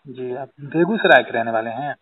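A man's voice: medium pitch, normal speed. A man working as a journalist, interviewing someone on a recorded phone call.

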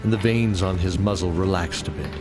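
Low-voiced man narrating over music. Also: brisk. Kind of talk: scripted dramatic narration.

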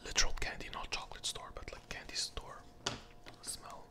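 A person whispering an ASMR candy review close to the microphone, hesitant and often repeating phrases. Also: normal speed.

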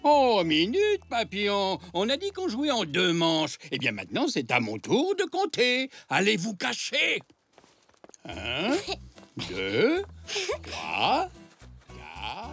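Gentle old cartoon grandpa zebra, slow, highly animated delivery, hesitant, music behind. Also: male voice, slightly rough, no room sound, heavily acted. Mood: playful. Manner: hesitant, chuckling, expressive. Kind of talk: children's cartoon dialogue.

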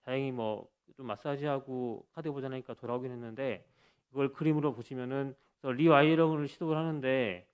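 A man at a conference podium walks through a medical case, speaking into the microphone in a mid-pitched voice. He talks haltingly, with frequent fillers and self-corrections. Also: fairly even intonation, clean tone. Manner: hesitant, many fillers and restarts. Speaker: cardiologist presenting a case. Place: conference hall stage.

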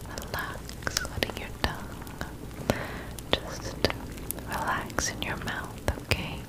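A young woman voicing a friendly, soothing witch in an ASMR roleplay, whispering softly and gently close to the mic. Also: rough recording. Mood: calm, hypnotic. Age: young adult. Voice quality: soft, breathy whisper. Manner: slow, gentle, reassuring. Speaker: ASMR performer. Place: small dim indoor set, close up. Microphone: close sensitive microphone.

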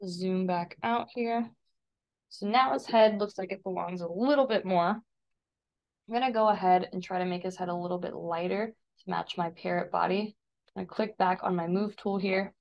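An American woman instructor talking informally through a software demonstration, step by step, as she does each action. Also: fairly low pitch, moderate pace, vocal fry, dull audio. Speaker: instructor giving a software tutorial. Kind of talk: casual step-by-step screen demonstration.

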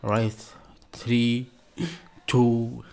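A man's voice: medium pitch, monotone, drawn-out words, vocal fry, a little mellow. A man hosting a reaction video, chatting casually to viewers and urging them to subscribe. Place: small indoor room.

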